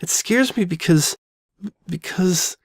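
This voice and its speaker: A woman's voice: fairly low pitch, expressive, husky, no room sound, clean studio sound, exaggerated voice. A woman voicing a frightened, guilt-ridden young female pony who stammers and doubts herself in an audio drama. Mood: anguished. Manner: stammering, halting, anxious. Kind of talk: all-dialogue fan audio drama.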